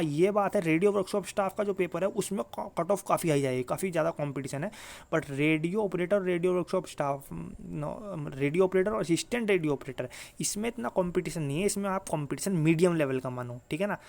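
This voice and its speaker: A man's voice: a little high, fast, vocal fry, a little mellow. A man giving informal, emphatic exam guidance to students, repeating his points, adding check-in tags and mixing in English words. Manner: mixes English words into speech. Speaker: exam coaching YouTuber.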